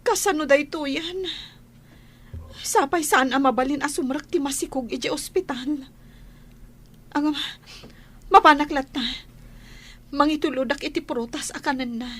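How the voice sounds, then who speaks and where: A woman's voice: sits high, moderate pace, pauses often, a bit bright. A woman playing an anxious, devoted young wife, earnest and pleading, in a radio drama.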